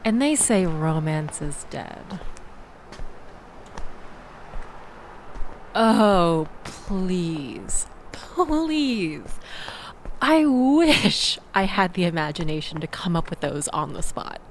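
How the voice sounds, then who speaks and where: Slow-talking, sardonic young woman, played by an actress. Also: on the low side, sing-song, slight background noise, exaggerated voice. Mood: playful, sarcastic. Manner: wry, exasperated, wordy, theatrical emphasis with sighs and groans. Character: sardonic young woman venting about a bad date. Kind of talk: intimate audio roleplay, conversational monologue.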